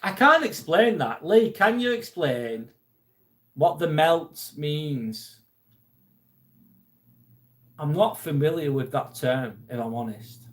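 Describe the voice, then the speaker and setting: Chatty English man, a bit high. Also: animated, slow, pauses often. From England. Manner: chatty and jokey, mildly irritated by a troll. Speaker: live stream host. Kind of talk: casual live chat reading out comments.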